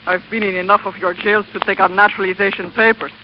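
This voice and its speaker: A woman's voice: medium pitch, flat delivery, fast talker, close to an everyday voice. An actress playing a tough, brusque woman in an old radio thriller. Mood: brusque, commanding. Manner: brusque, commanding. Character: tough, bold Spanish-speaking woman who hates cops. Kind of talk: 1940s radio acting. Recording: noisy, muffled old radio recording.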